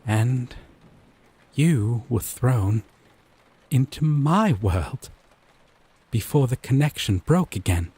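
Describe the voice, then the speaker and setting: A man playing a gentle, possessive yandere boyfriend from a dating sim, speaking tenderly and coaxingly with deliberate pauses in a scripted roleplay. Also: mid-range voice, lively intonation, breathy edge. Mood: calm, explanatory. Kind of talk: scripted roleplay audio drama.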